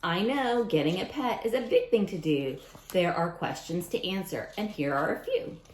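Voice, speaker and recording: A woman reading a picture book aloud to young children in an expressive way, adding chatty asides directly to her listeners. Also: fairly low pitch, moderate pace, echoey room, slight background noise.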